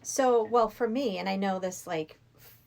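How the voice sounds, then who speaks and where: A middle-aged woman with a mid-range voice is a guest on a podcast. She chats informally, feeling her way through her thoughts in a halting, conversational manner. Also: mid-range voice, vocal fry, a little mellow. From Massachusetts, USA. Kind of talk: casual chat.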